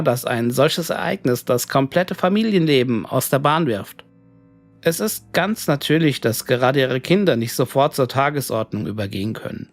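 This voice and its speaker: A man's voice: a little high, creaky, no echo, full character voice. A man voicing a courteous, sympathetic ghost hunter who gives a formal, reassuring reply in a horror story. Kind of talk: reading an email in a horror story. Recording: music bed under the voice.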